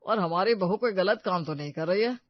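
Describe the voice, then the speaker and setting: A woman's voice: medium pitch, a little fast, no echo, lightly acted. A woman voicing an easygoing older father-in-law in a cartoon story, mild and indulgent. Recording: dull dry recording.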